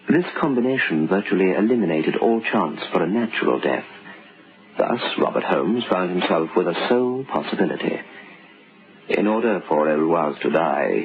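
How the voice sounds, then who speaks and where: A man narrating a scripted radio drama in a measured, ominous manner. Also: deep voice. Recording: muffled, noisy vintage radio recording.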